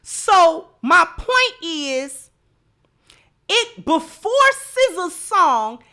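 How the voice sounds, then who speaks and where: A woman's voice: extremely high pitch, big pitch swings, extremely slow, sharp tone. An American woman host giving opinionated commentary in informal, colloquial speech, emphatic and repeating phrases to drive a point home. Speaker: livestream host.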